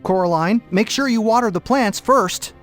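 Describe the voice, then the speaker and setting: A man's voice: high-pitched, normal speed, a bit bright, faint music behind. A man playing a distracted, put-upon father in a video game, mild, droll and reluctant. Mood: grudging. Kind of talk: video game cutscene voice acting with tutorial instructions.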